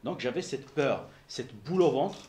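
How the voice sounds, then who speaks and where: A man's voice: mid-range voice, expressive, moderate pace, mellow, reverberant, slight background noise. A man who emigrated to France, hosting an interview in a casual, hesitant way, often saying "on va dire". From abroad, emigrated to France. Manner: informal, uses fillers like "on va dire", hesitant. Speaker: interviewer, podcast host.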